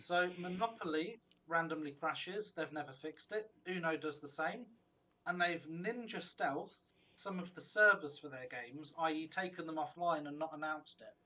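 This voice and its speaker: A man's voice: fairly high pitch, moderate pace, pushed and bright, low-quality recording. A man, co-hosting a gaming podcast in rambling, opinionated casual chat. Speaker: podcast co-host.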